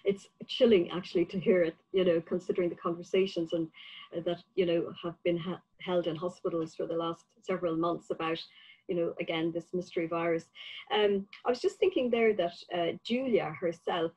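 An older woman interviewing on a video call from a room at home, speaking in a measured, tentative way. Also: fairly low pitch, steady tone, darkish tone, slightly rough, dull audio.

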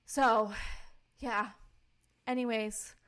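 A woman's voice: fairly high pitch, steady tone, very slow, fairly bright tone. A young woman co-hosting a podcast, talking at length in a casual, emphatic way with a commanding voice. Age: young adult. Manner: talkative, informal, emphatic. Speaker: podcast co-host. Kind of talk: casual personal testimony and chat.

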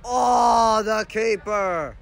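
A man filming a soccer match from the sideline in an indoor dome, commenting excitedly and shouting at the referee and his team. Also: sits high, very slow, slight background noise. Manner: animated, shouting at the referee and players. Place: indoor sports dome, large. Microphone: phone camera held by the speaker.

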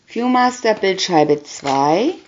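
A woman's voice: medium pitch, lively intonation, slow, a little mellow, highs cut off, a little hiss or hum. A woman doing a scripted reading of a children's picture booklet, announcing short captions one by one. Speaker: reader.

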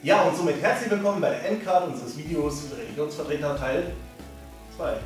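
A man's voice: fairly high pitch, fairly quick, heavy reverb, recorded from a distance, music in the background, rough recording. A young man hosting a comedy channel in a jokey, playful way, sitting on a living-room couch.

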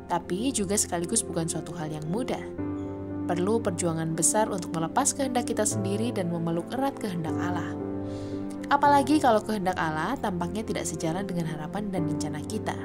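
A woman reading a scripted devotional reflection in a calm, gentle, earnest way. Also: mid-range voice. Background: music.

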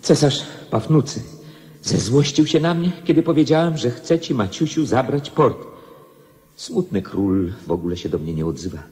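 A man's voice: fairly low pitch, darkish tone. A man playing a defeated young king in a radio play, penitent and pleading, with formal speech. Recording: long reverberant echo.

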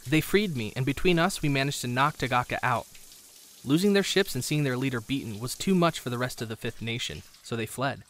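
A man playing a confident, wry teenage boy hero in an animated drama, speaking with an assured manner. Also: medium pitch, brisk, natural voice.

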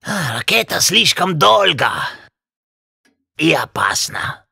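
A doting cartoon penguin father with a German accent. The voice is husky and bright, swinging widely in pitch. He is sentimental and emotional, sighing as he stumbles through broken grammar. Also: big pitch swings, takes it slowly, no room sound, clean studio sound, exaggerated voice. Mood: anxious, determined. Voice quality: husky, gravelly. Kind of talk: animated cartoon dialogue.

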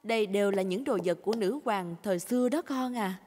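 A woman voicing a kind, patient mother pig in a children's cartoon dub, gentle and expressive. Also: mid-range voice, brisk, close to an everyday voice. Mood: gently explaining. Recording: reverberant, with music behind.

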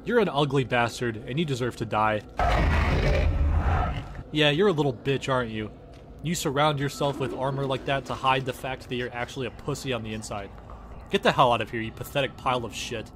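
A man's voice: mid-range voice, fairly quick, heavy background noise, natural voice. A man voicing a sarcastic, foul-mouthed space engineer in a comedic game parody, blunt and exasperated. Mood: mocking.

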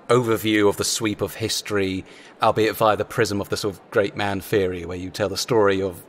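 Fast-talking man with reverberant sound. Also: fairly low pitch. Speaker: comedy writer, podcast guest. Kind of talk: casual interview chat.

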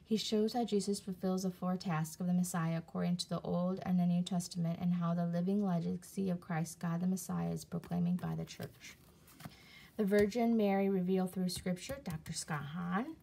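A woman casually showing her CDs and reading their back covers aloud, halting often with fillers and restarts, recorded on a phone camera above a table indoors. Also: fairly low pitch, gravelly, warm tone. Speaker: video creator reviewing CDs. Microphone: phone camera filming her hands on a table from above.

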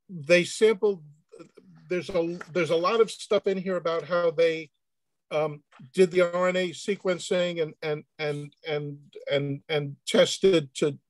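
A man on a panel answering questions informally in a halting, hesitant way. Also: a little high, no room sound. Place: indoor room on a video call.

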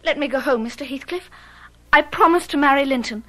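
A woman's voice: sits high, fairly quick, bright, forceful tone. An actress playing a spirited, defiant but tender-hearted young lady in a radio drama, speaking earnestly. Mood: pleading, resolute.

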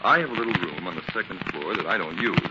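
An actor playing an outwardly obliging but scheming furniture dealer in a 1940s radio drama. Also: male voice, sits low, animated, fast. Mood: smooth, obliging. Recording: noisy, muffled 1940s radio recording with clicks.

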